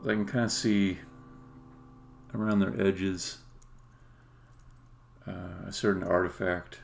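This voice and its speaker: A man giving a casual live-stream demo as a game developer, halting and thinking aloud as he goes. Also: deep voice, little pitch movement, gravelly, soft background music. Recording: noisy, with keyboard typing and clicking.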